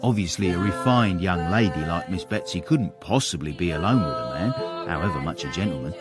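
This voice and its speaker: An actor playing a cheeky manservant who narrates a period radio comedy in a wry, florid, relishing way, with literary airs. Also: male voice, sits low, expressive, brisk, over loud music. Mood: confiding.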